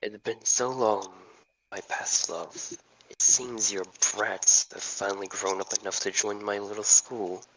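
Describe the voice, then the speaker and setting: Man playing a low, grave headmaster, noisy mic. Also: level tone, a little slow, a bit bright. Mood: brooding. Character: grave headmaster of a school, grieving, bitter. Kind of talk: hobby audio table read.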